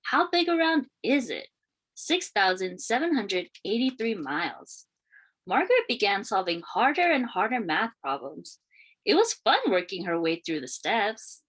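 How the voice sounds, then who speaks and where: A woman reading a children's picture book aloud in an expressive, deliberate storytelling manner. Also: medium pitch, slightly rough, poor audio. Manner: expressive, questioning lifts and deliberate phrasing. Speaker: read-aloud storyteller, teacher.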